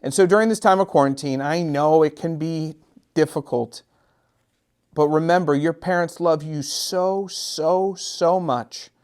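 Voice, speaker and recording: A middle-aged man reads a picture book to children expressively, drawing out repeated phrases with gentle, dramatic emphasis. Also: on the high side, clean tone. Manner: gentle, drawn-out, sing-song repetitions with dramatic emphasis. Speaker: storytime host and children's author.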